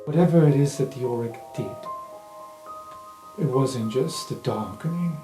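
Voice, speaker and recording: A man playing an ancient, evasive professor in a tabletop game, musing in a measured way and trailing off. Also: mid-range voice, close to an everyday voice. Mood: grave, musing. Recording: roomy sound under music.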